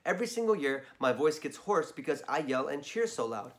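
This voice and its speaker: A hoarse man reading a children's story aloud, chatting in asides to his listeners. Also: a little high, sing-song, a little fast, a bit bright.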